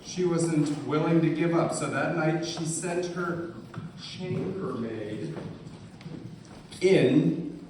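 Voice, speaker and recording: A man reading scripted puppet show narration aloud with deliberate, theatrical storytelling. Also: fairly high pitch, a little mellow. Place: indoor live puppet show, far from the mic. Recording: distant, echoey, noisy live room.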